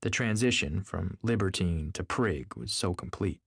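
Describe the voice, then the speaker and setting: Male audiobook narrator, fairly low register, literary scripted read, very dry studio sound. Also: moderate pace, creaky, a bit bright, husky, no room sound.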